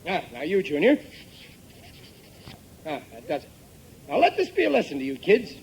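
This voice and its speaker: Cocky, high-pitched blustering dad. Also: male voice, very animated, normal speed, gravelly, a little hiss or hum. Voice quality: exclamatory, ungrammatical working-class speech. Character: blustering, gullible, cocky working-class father. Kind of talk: 1950s radio comedy acting. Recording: vintage 1950s radio recording.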